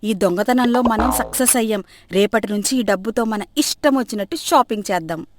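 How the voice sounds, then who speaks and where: A woman's voice: on the high side, a little fast, no echo, close to an everyday voice. A woman voicing a scheming, bossy old mother-in-law in a comic moral story, scolding and exclamatory. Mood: bossy, sly.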